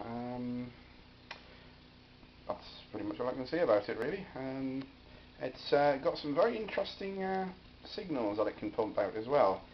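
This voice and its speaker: A man's voice: medium pitch, expressive, normal speed. A man giving a casual, hesitant, offhand demonstration of homemade electronics as a hobbyist. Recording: dull home video with faint noise.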